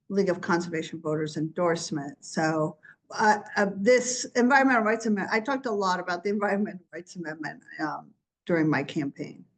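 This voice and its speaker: A woman with a slightly low voice introduces herself at a meeting and keeps adding details as she goes. She comes across as chatty and open while she runs through her background. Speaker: workshop participant.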